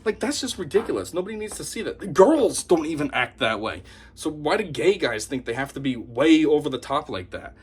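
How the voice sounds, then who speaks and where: A man giving an opinionated monologue to camera as a commentator, talking in a scornful, animated way. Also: fairly high pitch, a little fast, breathy edge. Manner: animated, scornful, mocks opponents in put-on voices. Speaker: YouTube commentator.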